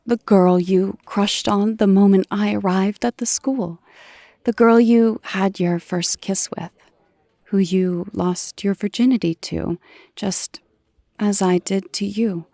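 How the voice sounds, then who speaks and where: Slow-spoken, earnest heroine. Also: female voice, medium pitch, mellow, dry recording. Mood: pleading. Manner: earnest, deliberate, emotional. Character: Jean, earnest, pleading resurrected female superhero. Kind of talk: audio drama acting.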